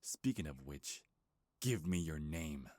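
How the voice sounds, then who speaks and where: A man's voice: rumbling low, animated, slow, mellow, no echo, studio quality, full character voice. A man playing an ancient, bitter, grieving beast king in a dramatic ASMR roleplay, brooding and menacing with heavy sighs. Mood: gruff, threatening.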